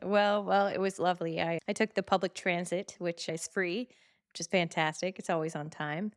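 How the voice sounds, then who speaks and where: A woman's voice: on the low side, steady tone, moderate pace. A woman co-hosting a health podcast, chatty and playful while telling stories. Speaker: podcast co-host. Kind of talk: casual podcast chat.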